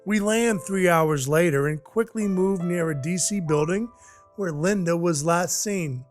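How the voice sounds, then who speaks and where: A man playing a gruff, soft-hearted dwarf who works as Santa's helper, narrating his own story in an audio play in a wry, hard-boiled way. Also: high-pitched, measured pace. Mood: steady, resolved. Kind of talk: first-person audio play narration and dialogue. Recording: music under the voice. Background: music.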